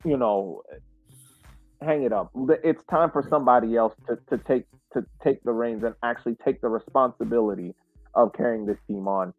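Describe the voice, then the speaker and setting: Male sports podcast co-host, earnest and measured, conversational. Thin telephone-like audio with a faint music bed. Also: mid-range voice, dry recording. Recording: narrow phone-like sound, faint music.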